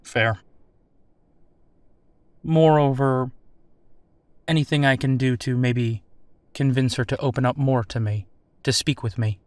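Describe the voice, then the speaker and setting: Audio drama casting: male actor as military officer interviewer, casual, wry, mid-pitched, recorded close and dry. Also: fairly even intonation, unhurried, long pauses, dry recording, close to an everyday voice. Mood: probing, polite.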